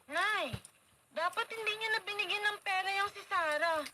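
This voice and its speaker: An actress playing a spoiled, spiteful student daughter in a radio drama, snippy and dismissive. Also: female voice, extremely high pitch, normal speed. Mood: scornful, tattling. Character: spoiled, spiteful student daughter, scornful of her thrifty cousin. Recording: noisy radio drama broadcast.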